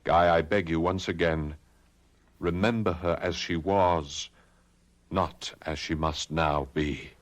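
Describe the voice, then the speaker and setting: An actor playing a steady, commanding man who cautions his friend, in measured, soothing old-time radio drama style. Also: low voice. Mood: grave, pleading. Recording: noisy vintage radio drama recording.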